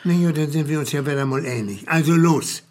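An actor playing a bossy, impatient stage director with a husky voice. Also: male voice, a little high, close to an everyday voice. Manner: bossy, brisk.

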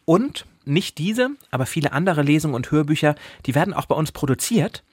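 Animated, slightly husky male podcast host. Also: on the high side, fairly quick, no echo, clean studio sound. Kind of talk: upbeat podcast moderation and chat.

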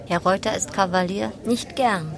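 An actress narrating a German radio play in a clipped, dry, ironic manner. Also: female voice, mid-range voice, moderate pace, vocal fry, crowd noise, lightly acted. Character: wry, ironic female narrator. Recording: music behind the voice.

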